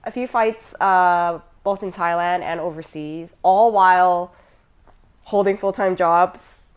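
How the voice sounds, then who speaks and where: A woman's voice: lowish voice, fairly even intonation, slow, clear, resonant tone. A woman hosting a casual podcast interview, talking in a chatty, friendly way with many fillers. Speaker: podcast host.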